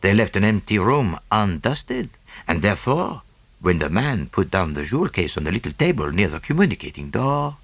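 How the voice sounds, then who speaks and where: Small Belgian detective, French-speaking accent, self-satisfied, fussy, didactic, hugely animated, storybook mystery. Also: male voice, fairly low pitch, normal speed, husky, no echo, heavily acted. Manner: didactic, punctuated with "eh?" and French phrases.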